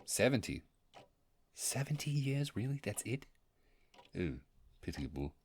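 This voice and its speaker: A man's voice: mid-range voice, very animated, unhurried, slight background noise. A man playing an ancient, bookish creature in a roleplay audio, wordy and pompous but awkwardly hesitant and eager to impress. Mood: flustered, musing. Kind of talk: immersive roleplay audio monologue.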